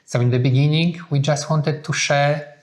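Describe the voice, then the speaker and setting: A man co-hosting a relaxed sit-down chat for viewers, explaining things in an earnest, measured way. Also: on the high side. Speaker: co-host of a couple's video channel.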